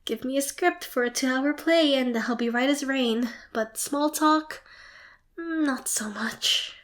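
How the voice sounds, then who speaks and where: A young woman playing a shy, nervous, excitable theatre student in an ASMR roleplay, hesitant, flustered and apologetic. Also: high voice, slow pace. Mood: nervous, awkward. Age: young adult. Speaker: amateur voice actor.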